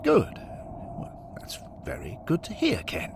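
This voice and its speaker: A man voicing a pompous, excitable old-fashioned gentleman in a blustering, plummy for an audio story. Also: a little high, a little slow, creaky, in a whisper, voice pushed up, exaggerated voice. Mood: hearty.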